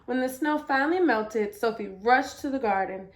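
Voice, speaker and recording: A woman reading a children's picture book aloud as storyteller, with expressive, deliberate emphasis. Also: on the high side, a little hiss or hum.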